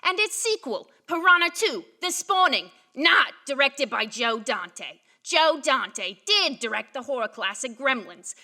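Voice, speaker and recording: A woman's voice: high voice, sing-song, a little slow, few pauses, pushed and bright, exaggerated voice. A woman playing a malfunctioning assistant in a comic audio drama, obsessively and insistently reciting trivia in loops. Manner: pedantic, looping, insistent.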